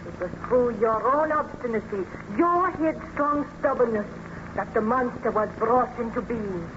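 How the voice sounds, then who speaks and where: A woman's voice: fairly high pitch, a little slow, lightly acted. An actress playing a passionate, reproachful young woman in love with a baron, in a melodramatic old-time radio drama. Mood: bitter, accusing. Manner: melodramatic, formal declamation. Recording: dull, noisy old radio recording.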